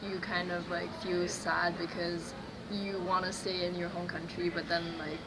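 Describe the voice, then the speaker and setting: A young woman answering interview questions casually, with halting restarts and many "like"s. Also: lowish voice, level tone. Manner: casual, filler-heavy, halting. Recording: loud background noise.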